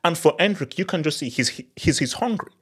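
Animated man giving football analysis. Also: a little high, fairly quick, slightly rough. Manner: animated, emphatic, repeats words for emphasis. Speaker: football channel host. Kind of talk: post-match analysis monologue. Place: small home studio, indoors. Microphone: studio mic on boom arm.

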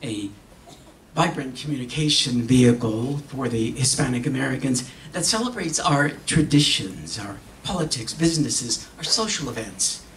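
An older man performing a dramatic reading on stage in a solemn, theatrical manner with long pauses. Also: medium pitch, expressive, a bit bright. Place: stage in an auditorium. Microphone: stand microphone heard from a distant camera. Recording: echoey hall, distant camera, faint noise.

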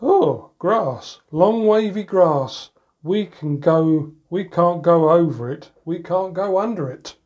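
A man's voice: a little high, expressive, slow pace, a little mellow, lo-fi sound. A middle-aged man reading a picture book aloud to young children as a grandad, expressively, with playful sound words. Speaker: grandad reading bedtime stories. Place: indoors in a room at home, by a door.

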